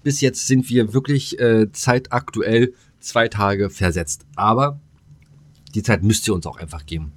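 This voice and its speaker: A man's voice: on the low side, unhurried. A man co-hosting a podcast in a casual, comedic chat, speaking informally and playfully. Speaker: podcast co-host.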